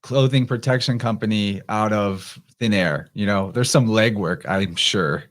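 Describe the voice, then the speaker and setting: A man's voice: lowish voice, unhurried, a bit bright. A man chatting casually as a podcast host, laid-back and using plenty of slang. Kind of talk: casual chat.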